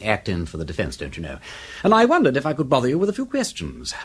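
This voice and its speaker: An actor playing a flippant, inquisitive aristocratic detective in a British radio drama, with an upper-class English accent and a breezy, foppish, rambling politeness. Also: male voice, mid-range voice, wildly swooping pitch, fast talker, husky, full character voice.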